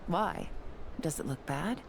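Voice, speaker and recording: A woman playing a composed, teasing, elegant undercover agent in a video game, speaking in a measured, confident way. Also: low-pitched, animated, heavy background noise, heavily acted. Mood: playful, confident.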